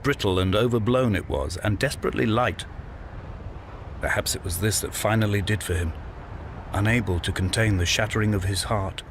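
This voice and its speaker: An actor playing a lonely, injured castaway who narrates a game in grave, measured, poetic monologue. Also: male voice, fairly low pitch, noisy background, close to an everyday voice. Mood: somber. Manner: solemn, measured, literary phrasing. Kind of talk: scripted dramatic monologue, literary narration. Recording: loud music behind the voice. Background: music.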